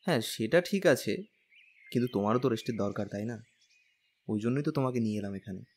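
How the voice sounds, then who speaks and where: A man's voice: mid-range voice, animated, fast talker. A young man playing a caring, playful husband who coaxes and teases in a romantic audio story. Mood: reassuring, exasperated. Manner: teasing, coaxing.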